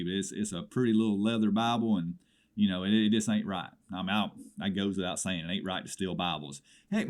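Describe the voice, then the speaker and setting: A man's voice: medium pitch, natural voice. A man playing an indignant small-town caller with a Southern American accent, rambling through a comedy swap shop report with exasperated asides. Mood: righteous indignation.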